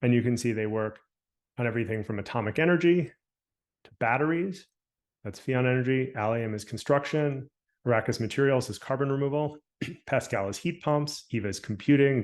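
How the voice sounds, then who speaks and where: A man presents slides over a video call, working through a list in an even, businesslike way. His pitch sits in a middle range. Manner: brisk listing, slowing on names. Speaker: program staff presenting.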